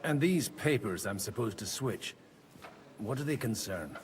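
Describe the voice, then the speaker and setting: Young medieval envoy, courteous, earnest, expressive pitch swings, video game dialogue, light background noise. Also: male voice, unhurried. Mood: questioning, wary.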